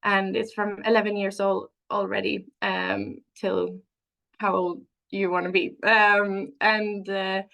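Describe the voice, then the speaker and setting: Woman researcher, webinar panelist, vocal fry, halting delivery with restarts, video-call audio. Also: medium pitch, flat delivery, fairly bright tone. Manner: halting, frequent restarts while walking through slides. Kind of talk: webinar slide presentation.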